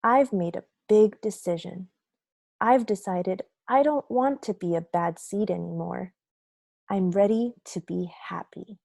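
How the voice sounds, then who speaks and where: Woman as a slow-talking, grumpy storybook seed. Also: mid-range voice, vocal fry, dark tone, dry recording, clean studio sound. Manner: dramatic, deliberate, confessional storytelling. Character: grumpy, remorseful bad sunflower seed telling his story. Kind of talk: children's picture book read aloud.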